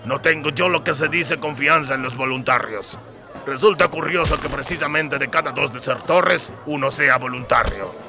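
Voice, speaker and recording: A man voicing gruff, sardonic dwarf soldiers in a fantasy audiobook, blunt and given to swearing. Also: medium pitch, normal speed, over music, close to an everyday voice. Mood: wry. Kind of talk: dramatised fantasy audiobook dialogue.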